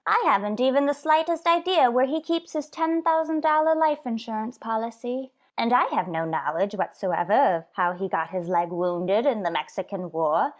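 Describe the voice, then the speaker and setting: A woman's voice: fairly high pitch, natural voice. A woman playing a coy, lovestruck elderly landlady in an old-time radio sitcom, prim and protesting.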